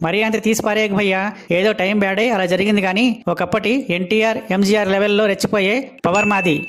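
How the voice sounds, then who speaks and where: A man plays a cheeky, grumbling drunkard for a comedy cartoon. The voice sits high and runs on quickly with little melodic swing. He brags and complains in street slang. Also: level tone, a bit bright. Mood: boastful. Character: cheeky good-for-nothing Telugu drunkard, boastful and grumbling. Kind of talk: comic cartoon dialogue.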